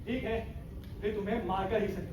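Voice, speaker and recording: A man's voice: high-pitched, steady tone, brisk, natural voice. A young man playing a hot-headed, defiant army captain in an amateur stage play, declaiming his lines. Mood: defiant, brooding. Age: young adult. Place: stage in a hall, distant microphone. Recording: echoey hall from far off, noisy.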